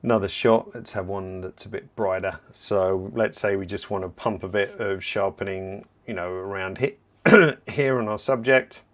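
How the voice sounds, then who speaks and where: A man with a somewhat low voice leads a live software lesson, explaining each step as he clicks through it. He sounds relaxed and a little hoarse, clearing his throat from time to time. His delivery is conversational, with frequent asides as he fields questions. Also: moderate pace. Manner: relaxed, explanatory, thinking aloud while working. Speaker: software trainer hosting a livestream. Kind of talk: live software tutorial with viewer Q&A.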